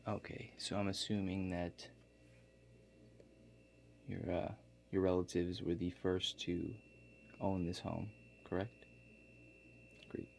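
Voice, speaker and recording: A man playing a laid-back construction contractor in a soft-spoken ASMR role-play, talking in a halting, matter-of-fact way. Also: low-pitched, little pitch movement, creaky, exaggerated voice. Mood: quietly inquisitive. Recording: faint background noise.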